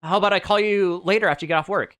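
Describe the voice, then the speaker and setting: A woman voicing a calm, caring young man who speaks gently on a call. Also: medium pitch, racing, voice pushed up, lightly acted. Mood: gentle.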